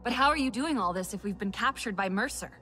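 A woman's voice: medium pitch, expressive, quick pace, pushed and bright, soft background music. A woman acting a wary young captive fighter in a video game cutscene, questioning and guarded. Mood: puzzled.

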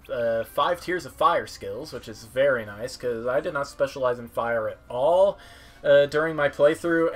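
A young man giving casual, wry, rambling commentary on a video game. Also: mid-range voice. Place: indoor room at home. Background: game music.

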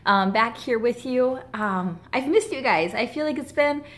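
A woman's voice: fairly high pitch, expressive, measured pace. Earnest woman with a slightly scratchy voice. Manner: earnest and heartfelt, with frequent ums and restarts. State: scratchy from a chest cold. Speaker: vlogger. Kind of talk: casual direct-to-camera vlog. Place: living room, sitting on a couch.

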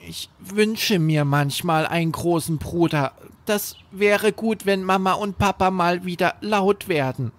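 Man putting on a high-pitched, earnest little boy. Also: unhurried, few pauses. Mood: worried. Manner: childlike, simple, earnest. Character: young boys chatting, earnest and a little worried. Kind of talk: children's bedtime audio story.

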